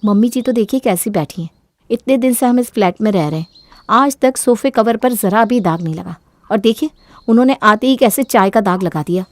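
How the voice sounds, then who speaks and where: A woman's voice: medium pitch, brisk, no room sound, lightly acted. A woman voicing a self-centred, bossy young wife in an audio story, with a demanding, argumentative manner. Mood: curt, complaining. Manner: sharp-tongued, demanding, argumentative.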